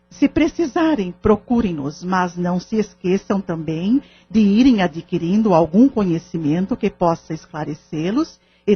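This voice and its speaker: A calm, kindly spiritist leader played by a woman counsels in a measured, formal way. Her voice is husky and sits at a middle pitch. It is audio drama acting on a dull, lo-fi recording.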